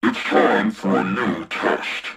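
An actor playing a menacing, taunting spy-ring boss in a video game, with a husky, gravelly voice. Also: male voice, on the low side, takes it slowly, a bit bright, exaggerated voice. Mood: cold, taunting. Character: sinister male spy-ring boss giving threatening orders. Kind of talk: scripted video game voice acting.

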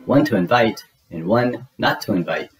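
A man's voice: lowish voice, animated, moderate pace, music in the background, close to an everyday voice, rough recording. A man voicing a bossy, scornful little girl in a storybook, with emphatic scolding. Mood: disbelieving.

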